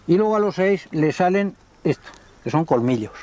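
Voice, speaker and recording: An older man, a livestock trader telling unhurried, folksy stories in a documentary interview. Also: sits high, animated, a little mellow. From Spain. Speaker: horse and mule trader.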